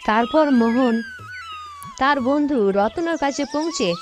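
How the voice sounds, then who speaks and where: A woman's voice: sits high, takes it slowly, over music. A woman narrating a cartoon folktale in a scripted storytelling manner. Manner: storytelling, expressive.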